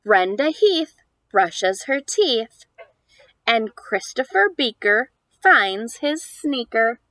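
High-voiced lady teacher doing a slow read aloud for little kids, super up and down, sing-song. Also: sing-song, fairly bright tone, no echo. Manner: exaggerated sing-song child-directed delivery with questions. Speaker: preschool teacher. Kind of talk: read-aloud for young children. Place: outdoors on a house porch.

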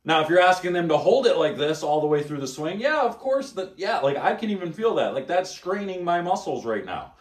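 A man's voice: on the high side, big pitch swings, brisk, few pauses. A man in his thirties coaching bowling technique at home, talking bluntly and emphatically as he demonstrates. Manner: blunt, emphatic, exasperated at bad advice. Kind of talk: instructional vlog demonstration. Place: living room at home, indoors. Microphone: camera on tripod, close then several meters away. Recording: echoey room.

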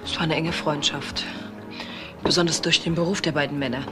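A woman's voice: low-pitched, fairly even intonation, fairly quick, music in the background, close to an everyday voice. An actress playing a married woman in a crime drama, guarded and hesitant, torn over a secret affair. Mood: guarded, evasive. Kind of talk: German TV crime drama acting.

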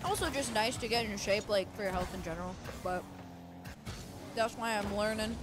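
A woman in her twenties chatting casually on a live stream, reading and answering chat with excitable bursts. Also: medium pitch, normal speed. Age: 20s. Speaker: esports co-streamer. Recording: game music under the voice. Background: game music and car sounds.

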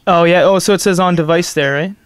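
Easygoing guy doing a casual gadget demo, kinda high smooth voice, dry sound. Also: quick pace, dry recording. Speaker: video host and reviewer. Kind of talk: casual chat, product demonstration.

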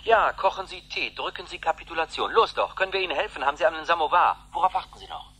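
An actor playing an agitated, forceful delegate of a nuclear power in a radio drama. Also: male voice, on the high side, very animated, quick pace, creaky, breathy edge, full character voice. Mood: urgent, impatient. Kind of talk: 1970s German radio drama acting.

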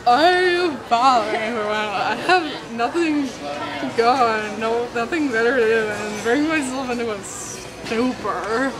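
Woman playing a melodramatic, boastful romance-novel knight, crowd behind. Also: fairly high pitch. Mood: bitter, boastful. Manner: grandiose, drawn-out, melodramatic. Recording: busy public space with loud crowd murmur.

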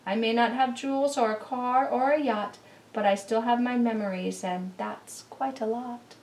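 Woman reading as a kindly old lady, high-voiced, roomy. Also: fairly even intonation, normal speed, natural voice. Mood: content, wistful. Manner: gentle, earnest, rhyming speech. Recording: roomy, distant camera recording.

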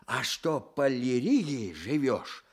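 A man's voice: medium pitch, sing-song, slow, slightly rough, exaggerated voice. An actor playing a pious, sorrowful old village stove-builder in a radio play, telling his story earnestly with folksy sighs. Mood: sighing, lamenting. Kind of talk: Soviet radio play acting.

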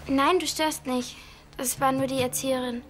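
A young actress playing a touchy, homesick teenage exchange student in a naturalistic TV drama. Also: female voice, high-pitched, fairly even intonation, moderate pace, close to an everyday voice. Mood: subdued. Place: furnished rooms, indoors.

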